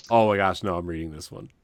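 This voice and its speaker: A deep-voiced man reads aloud as a podcast co-host in a comic, dramatic style. He is giggly and tends to crack up mid-sentence. The sound is dry, with no room around it. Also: big pitch swings, normal speed, no echo. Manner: giggly, often breaking into laughter while reading. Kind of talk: comic dramatic reading of book descriptions among friends.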